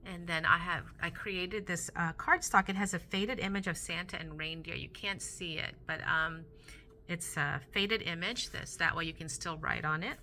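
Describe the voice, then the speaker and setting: A woman hosting a casual crafting vlog, chatty and rambling with many fillers, a little hoarse from losing her voice. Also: fairly low pitch, steady tone, moderate pace, slight background noise. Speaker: crafting channel host. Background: soft Christmas music.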